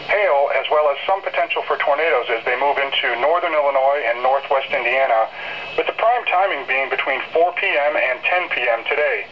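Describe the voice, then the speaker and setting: Over a slightly noisy, band-limited weather radio, a man speaks as a forecaster in a live briefing. His mid-pitched voice is measured and technical as he explains things step by step. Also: highs cut off.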